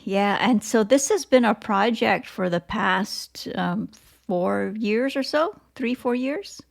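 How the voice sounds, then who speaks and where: Woman podcast host with slightly creaky voice. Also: medium pitch, animated, slow pace. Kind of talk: scripted podcast introduction and interview.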